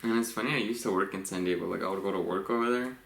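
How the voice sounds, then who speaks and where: A young man with a very low, gravelly voice chats loosely through a couple's vlog, laughing and trailing off as he tells stories. The room is echoey, with a faint hiss under the voice. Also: rapid-fire. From Los Angeles, USA. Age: 20s. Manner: relaxed, rambling, laughs often. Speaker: vlogger co-host. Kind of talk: casual couple Q&A chat.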